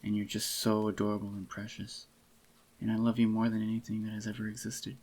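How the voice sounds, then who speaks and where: Low, soft-spoken man playing a doting boyfriend. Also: monotone. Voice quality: slightly groggy voice. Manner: intimate, doting, unhurried murmur. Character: adoring possessive young boyfriend, tender and clingy. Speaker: ASMR voice actor.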